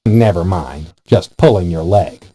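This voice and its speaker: A man's voice: deep voice, measured pace, warm tone, slightly rough, dry recording. An actor playing a folksy, easygoing male newsroom colleague who likes to tease, in an adventure game. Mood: teasing. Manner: easygoing, joking. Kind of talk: scripted adventure game acting.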